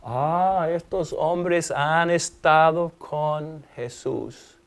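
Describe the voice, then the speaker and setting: Animated man with an Alabama accent. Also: fairly high pitch, very slow. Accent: American English (Alabama). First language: English. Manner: animated, asks questions, uses sound effects. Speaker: Bible teacher. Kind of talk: Bible study discussion.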